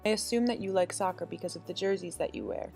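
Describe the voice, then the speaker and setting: Brisk woman narrating her assumptions. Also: medium pitch, gravelly, a little mellow, faint music behind. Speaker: interviewer voicing her assumptions. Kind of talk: scripted documentary narration.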